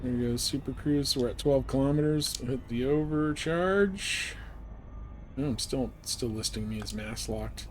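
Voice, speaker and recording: A man gives casual, think-aloud commentary as he livestreams a game. He chats to viewers in an ordinary mid-pitched voice. Noticeable game ambience sits behind him. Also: a little slow. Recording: voice over clear background noise.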